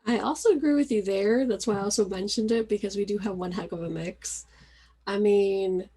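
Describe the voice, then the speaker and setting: A woman from Venezuela hosting an interview, chatting in a lively, friendly way. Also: mid-range voice, a little fast, husky. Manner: chatty, animated, laughs. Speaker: podcast host. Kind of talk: casual conversation.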